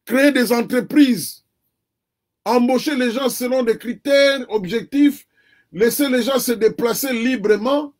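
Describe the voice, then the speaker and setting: High-voiced Congolese man, earnest. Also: measured pace, darkish tone. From Democratic Republic of the Congo. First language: Kikongo. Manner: earnest, argues point by point with repetition. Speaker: interview guest. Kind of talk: earnest conversational commentary.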